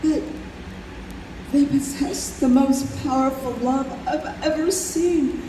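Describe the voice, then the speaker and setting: A woman's voice: high voice, slow pace, warm tone. A woman playing an ailing, tender-hearted mother with a weak, coughing delivery in an old time radio drama. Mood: tender, dying. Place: convention hall stage. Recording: echoey hall, distant, noisy.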